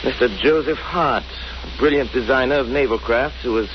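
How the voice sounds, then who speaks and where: An actor playing a composed gentleman detective with measured, authoritative, formal delivery in an old-time radio drama. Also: medium pitch, natural voice. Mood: deductive, determined. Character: composed, deductive gentleman detective, grimly determined. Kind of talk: 1930s radio drama acting. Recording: muffled, noisy old radio recording.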